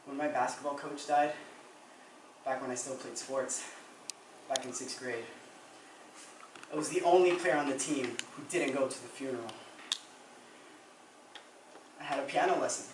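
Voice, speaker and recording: A man's voice: mid-range voice, normal speed, long pauses, close to an everyday voice. A young man performing a withdrawn, regretful teenager in a confessional dramatic stage monologue. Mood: subdued, regretful. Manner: subdued, confessional. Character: regretful, withdrawn teenager haunted by missing a funeral. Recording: echoey room, distant mic, noisy.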